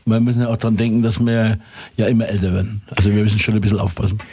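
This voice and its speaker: Man, musician, low husky voice, slow and hesitant. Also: completely flat delivery, mellow. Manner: slow, hesitant, lots of fillers. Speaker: musician guest. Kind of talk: casual radio interview talk.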